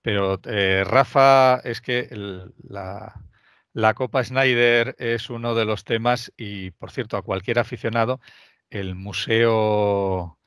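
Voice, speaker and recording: A middle-aged man guiding an aviation chat over a video call, halting and full of restarts. His voice is on the low side, and the sound is close and dry, with no room around it. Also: fairly even intonation, fairly bright tone, smooth, dry recording. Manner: hesitant, many fillers and restarts. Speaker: museum guide. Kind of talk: casual expert chat.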